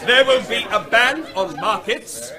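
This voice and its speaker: A man's voice: very high-pitched, big pitch swings, measured pace, crowd noise, heavily acted. An actor playing a firm male veterinary officer briefing a restless public meeting, raising his voice over hecklers in a vintage radio drama. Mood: insistent.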